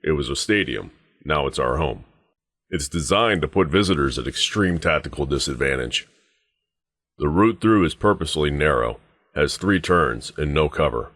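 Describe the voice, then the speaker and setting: An actor playing a stern, grim wasteland deputy sergeant in a video game, speaking in a terse, measured, guarded military way. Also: male voice, extremely low pitch, fairly bright tone, no room sound, clean studio sound, full character voice. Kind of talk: scripted video game dialogue.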